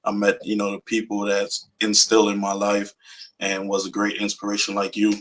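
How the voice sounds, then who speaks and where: A man's voice: on the low side, monotone, fairly quick, a bit bright, low-quality recording. A man from Saint Vincent with a Vincentian accent tinged with Jamaican, chatting as an easygoing, upbeat interview guest. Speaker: interview guest, army sergeant. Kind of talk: casual interview chat.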